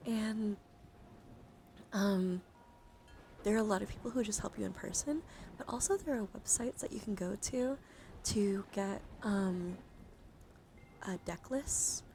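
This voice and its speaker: Hushed, creaky-voiced woman streaming ASMR. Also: medium pitch. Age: adult, over 18. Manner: hushed, often whispering, slow deliberate enunciation. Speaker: streamer host. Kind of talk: soft ASMR livestream chat. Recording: noticeable background noise.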